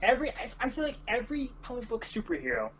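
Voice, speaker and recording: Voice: medium pitch, sing-song, fast, fairly bright tone. A co-host chatting casually in an offhand review discussion. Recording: dull, slightly noisy home recording.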